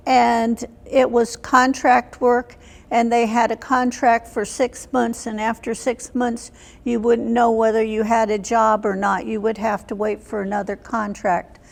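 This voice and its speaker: An older woman, in her seventies or beyond, recalling her life as an interview guest in a plain, halting way. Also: a little high, flat delivery, vocal fry. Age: 70s or older. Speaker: interview guest, military veteran. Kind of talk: reminiscing in an unscripted interview. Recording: long hall reverb.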